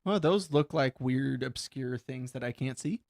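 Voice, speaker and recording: A man's voice: mid-range voice, a little slow, vocal fry, a little mellow. A young man co-hosting a podcast in casual, crude banter, with a joking, foul-mouthed manner. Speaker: podcast co-host.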